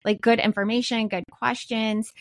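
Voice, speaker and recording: Enthusiastic woman podcast host, gravelly edge. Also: a little high, little pitch movement, normal speed. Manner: enthusiastic, gushing. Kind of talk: scripted podcast intro, then casual interview chat. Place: home, Midwest US.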